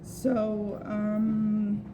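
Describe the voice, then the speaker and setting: A woman's voice: mid-range voice, completely flat delivery, extremely slow, music in the background. A young woman from Palestine speaking earnestly and unprepared at a campus rally, hesitating with ums. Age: young adult. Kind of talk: unprepared public speech.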